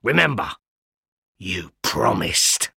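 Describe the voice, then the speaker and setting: A rough escaped convict, put on by a male reader, growls his threats deep and slow in this storybook reading. The delivery drags with menace, and the recording is dry and close. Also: sing-song, pushed and bright, dry recording, exaggerated voice. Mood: menacing. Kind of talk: audiobook character voice.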